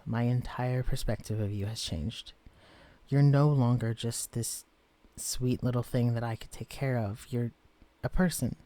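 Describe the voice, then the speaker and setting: Male actor as a shrunken romantic lead, guarded yet tender, earnest and soft-spoken, for a listener-directed audio drama; ordinary mid-pitched male voice. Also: dry recording, lightly acted. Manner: earnest, vulnerable, softly confessional. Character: shrunken man, tender but guarded, easily shaken.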